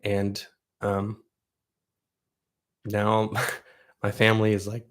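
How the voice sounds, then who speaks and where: Low-voiced man hosting a rambling livestream. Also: monotone, very slow. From Southern Oregon, USA. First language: Russian. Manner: rambling, conversational, with frequent restarts. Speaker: livestream host answering viewer questions. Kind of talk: casual chat.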